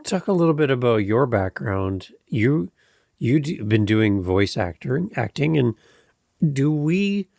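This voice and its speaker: A man's voice: mid-range voice, big pitch swings, measured pace, creaky, a little mellow. A man hosting a podcast, asking his guest questions in a casual conversational style. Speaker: podcast host, interviewer. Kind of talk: casual interview.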